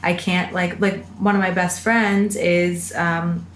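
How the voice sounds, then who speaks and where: A young woman with a lowish voice hosts a casual podcast chat. She rambles and restarts her sentences, filling gaps with "like." The room is echoey and carries a faint hiss. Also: little pitch movement, takes it slowly. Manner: rambling, many fillers and restarts. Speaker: podcast host.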